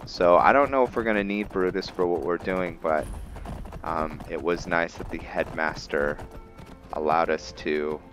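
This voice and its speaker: A man's voice: sits low, fairly even intonation, unhurried, fairly bright tone, soft background music. A man narrating his video game roleplay casually, thinking aloud in fragments. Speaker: gaming video host roleplaying a character.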